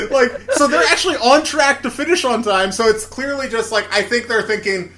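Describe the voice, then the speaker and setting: Guy late 20s, high bright voice, casual opinionated podcast chat, swears a bit. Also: a little slow. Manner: opinionated, profane, animated when worked up. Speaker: podcast co-host.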